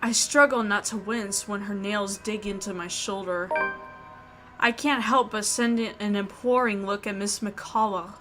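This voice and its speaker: A woman hosting a game playthrough reads visual novel narration with expression. Her voice sits at a middle pitch, and music plays clearly behind her. Casual reactions mix in with the reading. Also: fairly even intonation, a little slow, fairly bright tone. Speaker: gaming video host.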